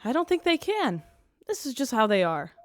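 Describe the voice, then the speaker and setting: An actress playing a gentle, folksy woman who looks after the animals in an audio drama. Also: a little high, very animated, normal speed. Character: kind, easygoing woman animal keeper.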